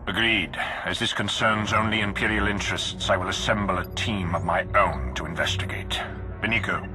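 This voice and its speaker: An actor playing a grim, imperious dark lord in a video game, speaking in a solemn, measured and commanding manner. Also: male voice, sits low, over music, close to an everyday voice. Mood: grave, commanding.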